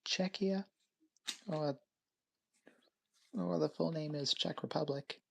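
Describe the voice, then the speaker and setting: Lady from canada streaming, low-ish gravelly voice, just reads off names one by one all chill. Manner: names items one at a time in short, unhurried phrases. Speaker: live streamer. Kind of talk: casual live stream show-and-tell.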